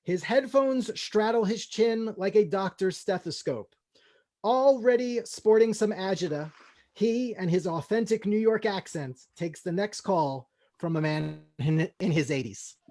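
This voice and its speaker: A man hosting a comedy table read, talking casually and reading out stage directions in deadpan style. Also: high-pitched, measured pace.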